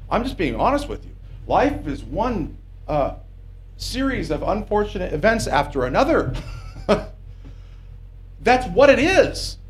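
A man preaching a sermon from the pulpit of a small church, emphatic and conversational, often checking in with "okay?". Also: sits high. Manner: emphatic, rising to insistent peaks, asks the congregation "okay?".

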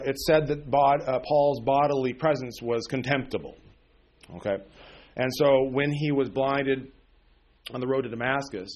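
A man in his forties teaching a sermon on a Bible passage, with many fillers and restarts, often checking in with "okay?". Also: medium pitch. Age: 40s. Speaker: preacher.

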